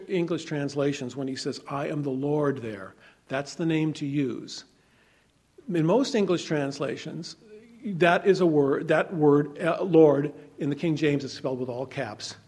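A man preaching a sermon, reading scripture aloud and explaining it conversationally, shifting between loud emphasis and quiet asides. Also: a little high. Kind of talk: sermon, Bible teaching.

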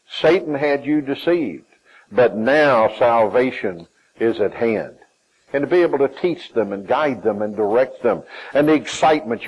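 A man with a Southern US accent preaching a sermon, emphatic, with his pitch climbing and falling and some phrases drawn out. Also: a little mellow, rough recording.

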